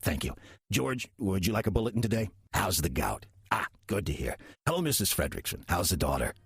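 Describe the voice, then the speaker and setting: An actor playing a sly demon who passes as a folksy churchgoer, in a wry, calculated audio drama performance. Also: male voice, deep voice, sing-song, fairly quick, no room sound, heavily acted. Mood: folksy, unruffled. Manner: smooth-talking, wry, calculated. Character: sly demon posing as a friendly small-town churchgoer. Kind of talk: audio drama acting and first-person narration.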